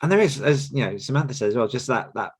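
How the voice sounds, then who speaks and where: A man's voice: mid-range voice, expressive, fast talker, breathy edge. A man hosting a podcast group discussion in a casual, conversational style. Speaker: podcast host.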